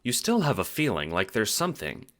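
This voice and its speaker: Low bright guy doing dramatic second person story narration, really animated. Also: a little fast. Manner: animated storytelling with casual swearing. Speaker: narrator and producer of an audio drama.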